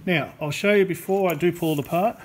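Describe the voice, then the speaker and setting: A man explaining a hands-on radio repair as a technician, unscripted, with a halting delivery and long pauses. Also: high-pitched. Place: indoor workbench in a repair workshop. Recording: clear background noise, workshop ambience. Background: workshop room noise.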